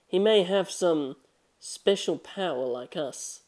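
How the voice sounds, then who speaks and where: A narrator voicing an earnest, anxious teenage schoolboy in an audiobook mystery, speaking deliberately. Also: mid-range voice, lively intonation, a little mellow. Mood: grave, worried.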